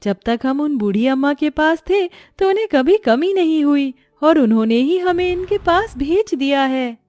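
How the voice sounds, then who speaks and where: A woman's voice: high-pitched, animated, normal speed, no room sound, voice pushed up. A woman voicing a kindly, secretive talking magic onion in a cartoon, in a conspiratorial manner. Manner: hushed, conspiratorial. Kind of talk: cartoon story voice acting.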